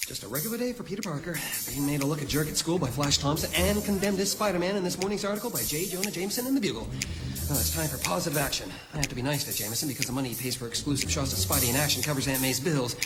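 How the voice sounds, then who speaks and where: Male actor as youthful superhero: wry, eager, lively swooping delivery, music underneath, radio play. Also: a little high, racing, lightly acted. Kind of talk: superhero radio drama acting.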